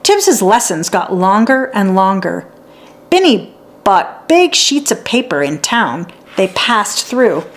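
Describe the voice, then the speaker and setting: A woman narrating a children's story in an expressive read-aloud style for young listeners. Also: medium pitch, slow, a little hiss or hum. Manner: acts out dialogue and sounds out letters.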